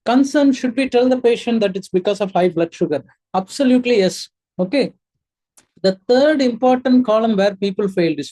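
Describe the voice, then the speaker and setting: A man teaching as a medical exam mentor, with a mellow, soft-edged voice. He speaks in an emphatic, instructive lecture style, putting questions to his learners and then answering them himself. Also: high voice, rough recording. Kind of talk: coaching lecture.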